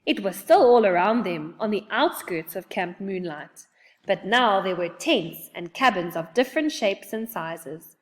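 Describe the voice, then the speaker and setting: A woman reading a children's story aloud in an expressive narrating style. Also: medium pitch, measured pace, in a large hall. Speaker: storybook reader.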